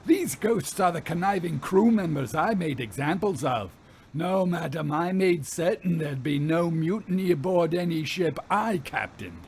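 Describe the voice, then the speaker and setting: A man's voice: a little high, lively intonation, measured pace, slightly rough, voice pushed up, full character voice. A man voicing a defiant, scornful old sea captain in a story, with indignant, archaic and emphatic speech.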